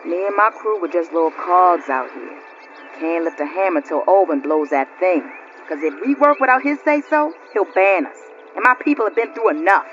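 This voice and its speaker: A woman's voice: lowish voice, animated, normal speed, a bit bright. A woman playing a hardy, plain-spoken quarry crew boss in a video game, with a folksy, colloquial delivery. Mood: frustrated. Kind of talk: cinematic video game acting. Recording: dull lo-fi audio with faint noise.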